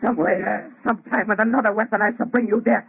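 A man's voice: high-pitched, steady tone, quick pace, creaky, breathy edge, exaggerated voice. A man playing an anguished, vengeful scientist in a melodramatic old radio horror style. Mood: vengeful grief. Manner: melodramatic, fervent. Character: anguished, vengeful scientist, tormented by his own creation. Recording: very tinny, noisy old radio recording.

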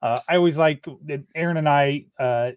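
A male podcast host who interviews his guest in a relaxed, easygoing way and laughs easily. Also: a little high, normal speed, clear, resonant tone, no echo, lo-fi sound. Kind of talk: casual interview.

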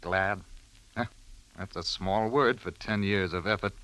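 A man's voice: deep voice, unhurried, bright, forceful tone, low-quality recording. An actor playing a bitter, resentful middle-aged male lawyer, sardonic and pointed, in 1940s radio drama style. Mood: bitter sarcasm.

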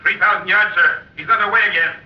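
Man acting a echoing, high-voiced naval radar man. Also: quick pace, natural voice. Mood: tense, clipped. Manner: clipped, urgent reporting. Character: dutiful naval radar man reporting to bridge. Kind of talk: old-time radio drama acting. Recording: muffled, echoing old radio recording.

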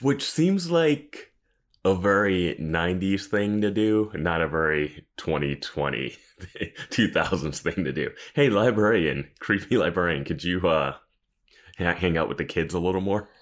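A man's voice: sits low, animated, a little slow. A man co-hosting a podcast, commenting informally on a TV episode and given to chuckling as he talks. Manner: informal, laughs often as he talks. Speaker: podcast co-host. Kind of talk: casual chat, TV episode commentary.

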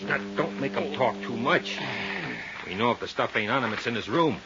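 An actor playing a taunting hoodlum who leads a pair of thugs in a 1950s radio crime drama, with mocking, needling street talk. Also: male voice, medium pitch, lively intonation, normal speed, lightly acted. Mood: smug menace. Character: taunting male hoodlum, sneering ringleader of thug pair. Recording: muffled, faintly noisy 1950s radio recording.

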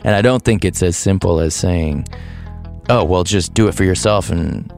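A man's voice: low-pitched, normal speed, vocal fry, darkish tone, soft background music. A man in his thirties hosting a podcast, thinking aloud in a loose, hesitant way with restarts. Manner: thoughtful, hesitant, with restarts and laughs. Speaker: podcast host. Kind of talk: reflective podcast monologue.

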